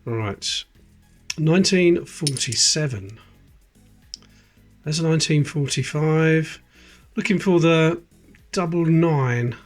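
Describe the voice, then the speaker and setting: Man, British, fairly high voice, chatty, calls out dates, faint music behind. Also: slow pace, long pauses. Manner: chatty, reads out dates as he goes. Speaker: coin collecting channel host. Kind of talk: casual commentary while sorting coins. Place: indoors at a table. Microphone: close camera, hands-only framing.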